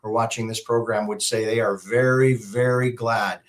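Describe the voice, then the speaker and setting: A middle-aged man from Alberta with a Canadian accent, giving advice as an interview guest in an emphatic, polished, deliberate way. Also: fairly low pitch, fairly even intonation. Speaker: guest, public speaker and emcee. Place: video call.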